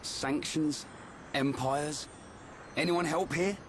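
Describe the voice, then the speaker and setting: Brash young video game guy, higher-pitched, very expressive, informal, dim but likeable, faint noise behind. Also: slow. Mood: puzzled. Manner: blunt, informal. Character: brash, slow-witted, good-natured young man. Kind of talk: video game cutscene acting.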